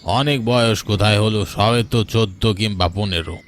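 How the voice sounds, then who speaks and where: A man's voice: fairly low pitch, moderate pace, slight background noise, close to an everyday voice. Man voicing a stubborn, doting cartoon father. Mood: disbelieving. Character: stubborn, doting landlord father, possessive of his daughter. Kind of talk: Bengali cartoon story dialogue.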